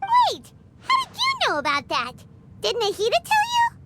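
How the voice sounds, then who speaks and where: A woman playing a small, childlike fairy companion in a video game, squeaky and excitable, often exclaiming. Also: moderate pace, a little hiss or hum, exaggerated voice. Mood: amazed, excited. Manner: refers to self in third person, exclaiming. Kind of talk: video game character acting. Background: background music.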